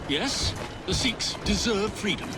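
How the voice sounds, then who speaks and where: A man's voice: high-pitched, expressive, measured pace, loud music behind the voice. An actor playing a haughty, blunt British politician in a video game, pompous and condescending as he speaks. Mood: scornful. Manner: pompous, oratorical. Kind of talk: dramatic video game cutscene acting. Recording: loud street and carriage ambience.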